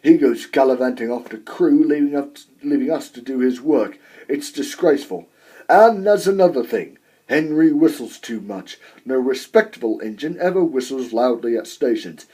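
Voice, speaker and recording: Man voicing a grumpy, pompous steam engine, husky. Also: medium pitch, lively intonation, normal speed, warm tone. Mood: cross, indignant. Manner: indignant, pompous, scolding. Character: grumpy, self-important male steam engine.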